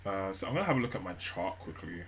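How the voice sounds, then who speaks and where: A man live-streaming his language study, thinking aloud with many fillers and restarts at a gentle pace. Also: sits low, big pitch swings, quick pace, a little hiss or hum. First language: English. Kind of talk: relaxed study stream narration.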